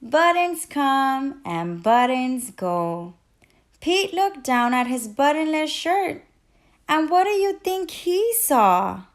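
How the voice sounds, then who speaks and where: A woman's voice: sits high, sing-song, slow pace. A woman reading a children's picture book aloud expressively, putting questions to young listeners. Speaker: teacher and children's read-aloud host.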